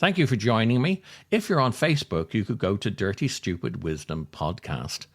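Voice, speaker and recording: A man reading his own scripted comic writing as a podcast host, theatrical and wry, with deliberate dramatic pauses. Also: on the low side, animated.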